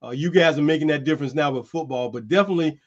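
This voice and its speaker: A man hosting a streamed show, chatting loosely and calling people "man" as he pitches merchandise. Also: very fast, dull audio. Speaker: host. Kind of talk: casual chat and merchandise pitch. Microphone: streaming setup.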